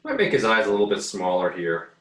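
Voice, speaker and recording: A man giving a casual drawing tutorial as an art teacher, thinking aloud in a halting, muttering way while he works. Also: fairly low pitch, huge pitch swings, a bit bright. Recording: roomy echo, distant microphone.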